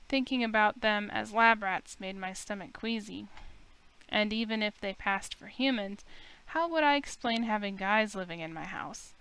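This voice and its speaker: Woman, medium pitch, clean resonant tone, first-person story reading, visual novel narrator. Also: moderate pace, fairly bright tone, clear, resonant tone, low-quality recording. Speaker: game player reading a visual novel aloud.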